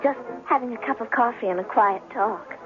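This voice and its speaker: A woman's voice: a little high, fairly quick, music in the background, close to an everyday voice. An actress playing a placating young circus girl in a radio drama. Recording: tinny old radio broadcast.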